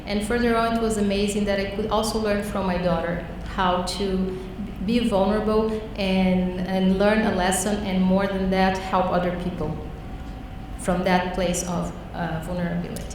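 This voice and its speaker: A woman telling her own story on a panel, slow and earnest, with the odd filler. Her voice sits at a middle pitch. The sound carries from far off through a long-echoing hall, with clear background noise. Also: level tone. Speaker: panel speaker. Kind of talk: personal story talk.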